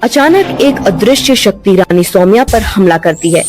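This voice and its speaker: A woman narrates a dubbed cartoon story in scripted, steady storytelling. Her voice sits at a middle pitch. Faint music runs under her words. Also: normal speed.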